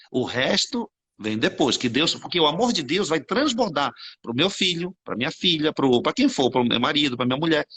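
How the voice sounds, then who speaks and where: Brazilian man, live-stream host, bright husky voice, rapid and preachy. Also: mid-range voice. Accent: Brazilian Portuguese. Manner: fervent, preachy, addresses viewers as "gente". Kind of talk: animated spiritual conversation.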